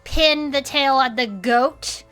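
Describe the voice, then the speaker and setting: Cheerful female small-town co-host, high-pitched, crisp bright tone, agreeable, chatty audio-drama style, music behind. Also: slow pace. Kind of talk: improvised in-character audio drama chat show.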